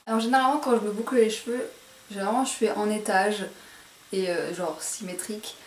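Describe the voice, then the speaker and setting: A woman talks viewers through a beauty routine in a casual, chatty way. She is slightly self-conscious about how she pronounces things. Her mid-pitched voice sounds in a roomy indoor space with faint noise behind it. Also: fairly even intonation, brisk. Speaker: beauty vlogger presenting a hair tutorial. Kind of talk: casual chatty tutorial to camera. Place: indoor room at home.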